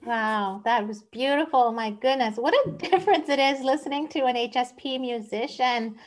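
High-voiced, gushing woman host. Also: normal speed. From northwest Spain. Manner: gushing, exclamatory. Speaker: host of an online open mic. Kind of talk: casual, effusive hosting chat.

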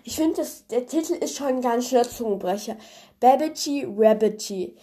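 Young female podcast host, congested with a cold, chatty and meandering, slightly high voice. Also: normal speed, warm tone. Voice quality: congested, nasal voice. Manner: chatty, rambling, many fillers. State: stuffy cold. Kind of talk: casual retelling of a fairy tale.

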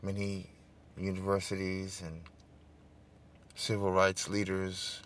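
A middle-aged man with a smooth voice hosting a political podcast, talking straight to his listeners and building into an emphatic, preacher-like cadence. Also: low voice, completely flat delivery, slow, fairly bright tone. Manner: addresses listeners directly, seeks call-and-response. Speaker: podcast host. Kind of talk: casual political podcast monologue.

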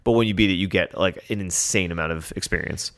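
An American man with a lowish voice hosts a casual gaming podcast. His voice sounds close and dry, with little room sound. He talks in a relaxed, easygoing way. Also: fast talker, no echo. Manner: relaxed, wraps up the show. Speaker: podcast host. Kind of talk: casual chat.

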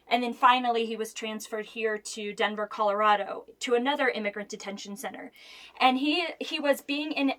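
A woman's voice: a little high, fairly bright tone. A woman with an American accent speaking as an interview guest, explaining things earnestly and emphatically in a conversational storytelling way.

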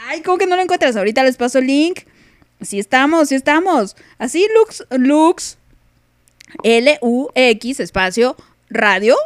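A woman's voice: on the high side, smooth, no room sound, studio quality. A young woman with a Mexican accent hosting a casual live radio podcast, playful and teasing, laughing often. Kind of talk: casual chat on a live stream.

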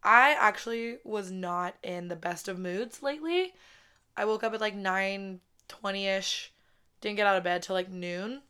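Woman in her twenties, podcast host, raspy voice, casual chatty talk, frequent swearing, close mic. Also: medium pitch, measured pace, bright, forceful tone. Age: 20s. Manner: chatty, swears a lot, says "like" constantly. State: raspy voice after a wedding weekend. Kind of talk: casual solo podcast chat. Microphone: podcast microphone, close.

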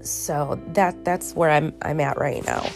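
A woman hosting her own podcast while sick, tired and congested, talking slowly in a halting way with many restarts and ums. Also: low voice, expressive, vocal fry, music in the background. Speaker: podcast host. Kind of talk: casual solo podcast monologue. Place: her bedroom at home.

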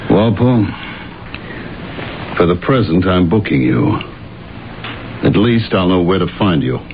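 An actor playing a stern, skeptical police detective in an old-time radio drama, probing and authoritative. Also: male voice, low voice, sing-song, unhurried, heavily acted. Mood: skeptical, firm. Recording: muffled, noisy old radio recording.

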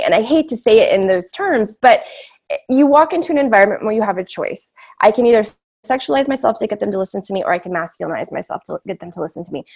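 A woman's voice: medium pitch, darkish tone. A woman with a big voice, giving candid, reflective interview answers drawn from her own experience. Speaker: interview guest.

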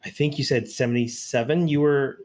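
A man's voice: mid-range voice, fairly even intonation, a little fast, darkish tone. A man interviewing a guest, asking careful follow-up questions and restarting words as he goes.

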